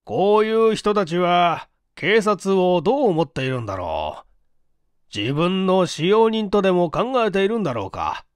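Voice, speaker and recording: A man reading aloud as a weary, wry senior chief investigator in an audiobook, unhurried and casually blunt. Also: high-pitched, big pitch swings, clear, resonant tone, no room sound, studio quality, voice pushed up. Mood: fed up.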